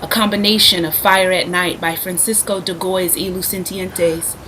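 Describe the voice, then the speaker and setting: Woman reading stage directions for a play, lowish voice, noisy recording. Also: steady tone, normal speed, bright, forceful tone. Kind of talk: scripted narration of stage directions.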